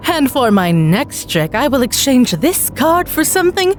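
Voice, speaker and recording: Woman as male stage magician, showy formal patter, sly, menacing courtesy, lively intonation, story narration, music behind. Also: high-pitched. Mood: smooth, menacing. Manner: theatrical, drawn-out formal patter.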